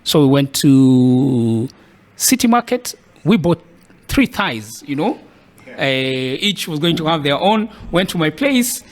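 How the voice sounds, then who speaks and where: A man's voice: fairly high pitch, very animated, slow. Kenyan man telling his story thoughtfully. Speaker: podcast guest. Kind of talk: casual interview storytelling.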